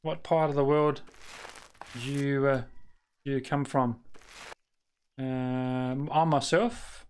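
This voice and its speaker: A man's voice: mid-range voice, steady tone, slow pace, long pauses, slight background noise. An Australian man chatting casually to his live-stream viewers while he plays, rambling with lots of fillers and restarts and muttering at the game.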